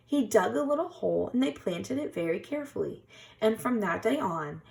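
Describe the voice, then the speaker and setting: Lady teacher reading a picture book, slow, super animated, bit of creak in the voice. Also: mid-range voice. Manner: expressive, dramatic. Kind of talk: scripted children's storybook narration.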